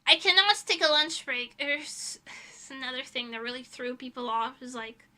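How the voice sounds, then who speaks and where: Female streamer, high-pitched and piercingly bright, blunt rambling chat delivery, casual and sweary. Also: steady tone, moderate pace. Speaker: livestreamer, illustrator. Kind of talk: casual livestream chat, reading and answering chat messages.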